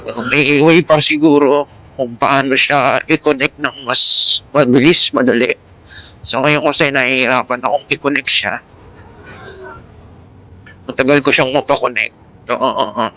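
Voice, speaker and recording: A man's voice: medium pitch, steady tone, a little slow, pauses often, pushed and bright, rough recording. A man with a Filipino accent chatting casually in a rambling, informal vlog, mixing in English words, speaking into a Bluetooth intercom headset. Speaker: motovlogger. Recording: Bluetooth intercom mic with vehicle noise.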